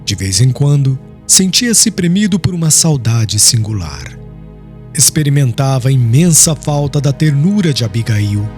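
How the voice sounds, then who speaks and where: A Brazilian man narrates a literary audiobook in a somewhat high-set voice. His delivery is solemn and measured, with phrases settling downward as they end. Faint music plays behind him. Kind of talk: scripted literary narration of a religious historical novel. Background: background music.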